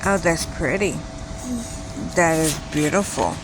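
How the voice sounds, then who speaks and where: A woman's voice: low voice, takes it slowly, music in the background, low-quality recording. A woman in her late thirties telling a personal story in a casual vlog, rambling and often stopping to correct herself. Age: 30s. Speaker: vlogger.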